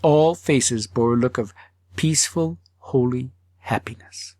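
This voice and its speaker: A man narrating a humorous literary story as an audiobook, phrasing it deliberately and expressively with pauses. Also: mid-range voice, darkish tone, no echo, studio quality.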